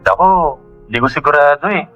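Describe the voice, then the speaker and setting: Man doing a sheepish nurse on a dull phone line, bright, tense tone. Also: a little high, moderate pace, faint music behind. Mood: apologetic. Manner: sheepish, apologetic. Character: apologetic young male nurse on phone.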